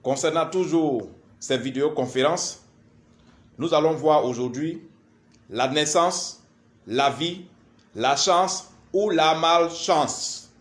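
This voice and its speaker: A man speaks in a fairly high voice. He delivers a spiritual lecture in a deliberate, instructive way, building it from short phrases and repetitions. The room around his voice is clearly echoey. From Ivory Coast. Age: 40s. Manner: deliberate, didactic, repetitive, halting phrases with frequent verbal tags. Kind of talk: spoken lecture to camera.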